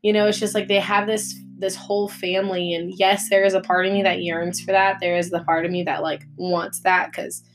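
A woman, co-hosting a faith podcast in casual chat, earnest and halting with many restarts. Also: mid-range voice, little pitch movement. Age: 20s. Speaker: podcast co-host.

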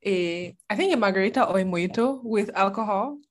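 A young woman chats as a podcast guest. She is talkative and laughs often. Her pitch sits in a middle range. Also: fairly quick. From Nigeria. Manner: chatty, laughs often, lively. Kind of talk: casual chat.

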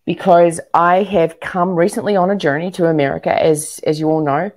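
A woman's voice: fairly low pitch, steady tone, normal speed, a little mellow. A woman talking energetically as an interview guest, emphatic and often repeating words for emphasis. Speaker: guest, entrepreneur and speaker. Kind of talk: animated interview talk to a live online audience.

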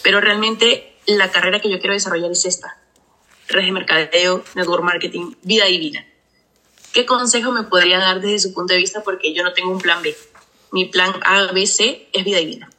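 Bright-voiced young woman. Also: on the low side, fairly even intonation, moderate pace, poor audio. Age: 20s. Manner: polite, enthusiastic. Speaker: caller asking a question. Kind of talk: asking a question on a group call.

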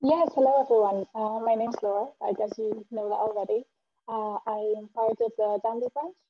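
A woman's voice: on the high side, steady tone, normal speed, slightly rough, no room sound, lo-fi sound. A woman from Spain introducing herself in a friendly, lightly humorous way.

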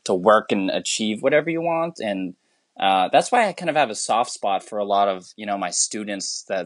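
A man's voice: fairly low pitch, fairly bright tone, no room sound. A man from the United States, a teacher, speaking as a guest in a casual interview, earnest and rambling with many restarts.

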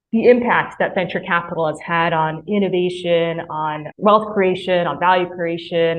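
A woman in her thirties from Mississippi, a podcast guest talking earnestly about her career with frequent restarts. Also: fairly low pitch. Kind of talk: podcast interview. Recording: tinny phone-like line.

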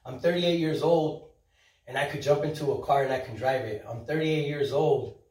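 A man in his thirties, a guest chatting casually on a podcast, upbeat and animated, acting out quoted voices and dropping in Spanish words. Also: on the high side, moderate pace. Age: 30s. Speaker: podcast guest, social media influencer. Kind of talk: casual chat. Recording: roomy, mic at a distance.

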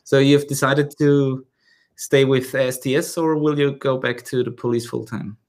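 The host of a hobbyist dog-training podcast, a German man with a middle-pitched voice, feeling his way through English questions with hesitant restarts. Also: little pitch movement, normal speed, a little mellow. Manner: hesitant, searching for words, repeats sentence starts. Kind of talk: casual interview.

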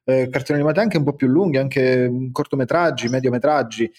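A man's voice: mid-range voice, fast, darkish tone. A man hosting a casual chat show, with music playing behind his voice. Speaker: host.

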